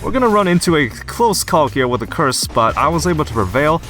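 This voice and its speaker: A young man casually commenting on his gameplay as a YouTuber, his voice a little hoarse after being ill. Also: on the high side, big pitch swings, brisk, a bit bright, soft background music. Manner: casual, chatty, explanatory. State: slightly hoarse from recent illness. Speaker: gaming YouTuber.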